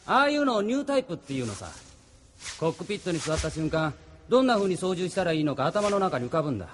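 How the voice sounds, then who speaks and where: An actor playing a cool, know-it-all young resistance member with a smug, self-assured manner in an anime audio drama. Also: male voice, high-pitched, sing-song, fast talker, a little hiss or hum.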